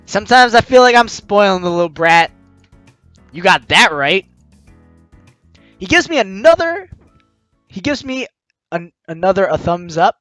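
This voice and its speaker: Bright, high-pitched, animated male gamer. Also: unhurried, long pauses, no room sound, soft background music. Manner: expressive, acts out lines as he reads. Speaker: let's play host. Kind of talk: gaming commentary, reading game text aloud.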